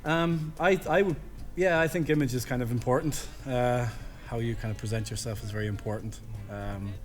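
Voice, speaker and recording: A man's voice: mid-range voice, steady tone, moderate pace, soft background music. An Irish man in a band, chatting loosely in an interview with plenty of fillers and restarts. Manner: rambling, lots of fillers. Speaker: band member, drummer. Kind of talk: casual interview chat.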